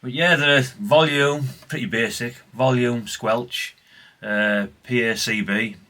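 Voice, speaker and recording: A man's voice: mid-range voice, steady tone, takes it slowly, bright, forceful tone. A man, chatting casually and ramblingly as he presents old radio gear in a vlog. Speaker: vlogger showing vintage CB radios. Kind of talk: casual, unscripted chat.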